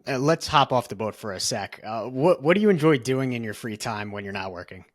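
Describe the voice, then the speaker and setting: A man interviews a guest in a relaxed, conversational tone. His voice sits at an average pitch. He sometimes stops and restarts a question before he finishes it. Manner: easygoing, slightly halting questions.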